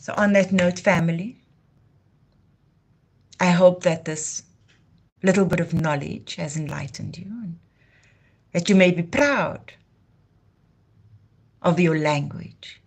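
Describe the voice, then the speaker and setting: Woman, low-pitched, declamatory, fervent, live stream host. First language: Afrikaans. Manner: declamatory, passionate. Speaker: livestream host. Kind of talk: impassioned heritage talk.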